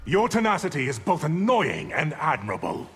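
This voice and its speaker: An actor playing an arrogant, menacing evil sorcerer in a video game, with haughty, deliberate, theatrical speech. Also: male voice, on the high side, wildly swooping pitch, fairly bright tone, husky, full character voice. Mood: haughty, scornful. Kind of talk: dramatic video game villain acting. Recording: long hall reverb, music underneath.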